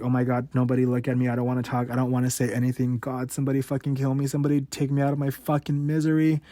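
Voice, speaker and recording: A man in his late twenties talks on his podcast with a stutter that repeats words and cuts sounds short. He is blunt and frank and swears freely. His voice sits at an ordinary pitch and is recorded dry and close. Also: dark tone, dry recording. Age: 20s. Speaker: podcast host. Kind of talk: candid personal monologue.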